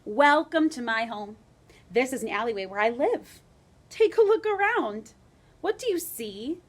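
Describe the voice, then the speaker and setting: A woman's voice: on the high side, very animated, measured pace. Woman reading a chirpy rat adventurer, grand and friendly, storybook read-aloud. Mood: welcoming. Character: cheerful male rat explorer, showy.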